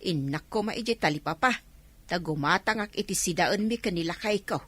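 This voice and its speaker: An Ilocano-accented woman playing a kindly, apologetic mother in a radio drama, speaking with gentle sympathy. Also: on the low side, moderate pace, breathy edge, dry recording. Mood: sympathetic. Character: kindly, apologetic mother covering her daughter's debt.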